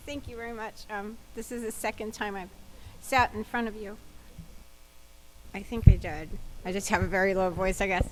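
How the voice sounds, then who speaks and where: Woman, community advocate, medium pitch, plain first-person testimony, public hearing. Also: steady tone, moderate pace, a little hiss or hum, poor audio. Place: government hearing room.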